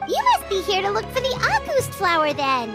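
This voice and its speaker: A woman's voice: very high voice, huge pitch swings, full character voice. A woman voicing a small chattering fairy companion who is chirpy and nosy, in a game cutscene. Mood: curious. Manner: chirpy, nosy, quick to question. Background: game music.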